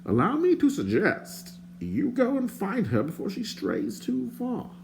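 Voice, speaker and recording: Man voicing a raised-pitch bard, courtly, wordy, theatrical, audiobook character. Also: wildly swooping pitch, a little slow, vocal fry, slight background noise, exaggerated voice. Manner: theatrical, wordy and self-mocking. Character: chatty, flowery wandering male bard.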